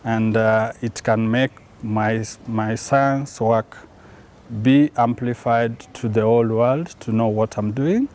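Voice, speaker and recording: Man, measured interview speech. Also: mid-range voice, a little mellow. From Kenya. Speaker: scientist trainee. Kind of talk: interview testimonial.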